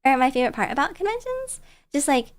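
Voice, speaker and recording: High-voiced bubbly woman streamer chatting. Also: sing-song, quick pace. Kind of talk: casual storytelling chat on a livestream.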